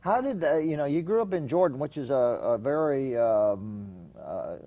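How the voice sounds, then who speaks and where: A man's voice: medium pitch, expressive, moderate pace, highs cut off. A man hosting a television talk show, introducing and interviewing a guest in a chatty, emphatic way. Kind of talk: studio interview. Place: television studio.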